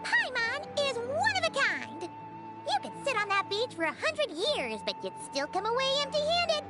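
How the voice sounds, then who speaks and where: A woman voicing a tiny, cheeky, excitable flying fairy girl in a video game, with a squeaky childlike voice. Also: moderate pace, loud music behind the voice, full character voice. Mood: chatty, curious. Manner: refers to self in third person, exclamatory.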